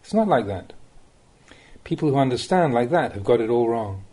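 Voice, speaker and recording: A man reading a translated Buddhist talk aloud as a monk would teach, in measured, didactic, emphatic short phrases. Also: mid-range voice, very animated, dark tone.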